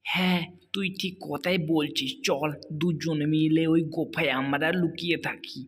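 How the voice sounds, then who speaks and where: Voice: mid-range voice, steady tone, normal speed, natural voice. An actor voicing a hot-tempered, bullying male tiger in a cartoon animal story, ranting in blustering, repeated threats. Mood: vengeful. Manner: blustering, repetitive threatening tirades. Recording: long hall-like reverb on the voice.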